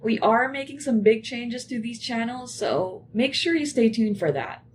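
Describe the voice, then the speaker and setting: A woman's voice: a little high, animated, normal speed, slight background noise. A woman podcast producer delivering scripted outro announcements to listeners.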